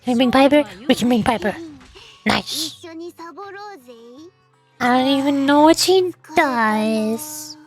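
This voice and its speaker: A woman's voice: sits high, slow, pauses often, faint music behind. A woman streamer chatting casually and reading game text aloud in a playful, rambling way. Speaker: VTuber streamer.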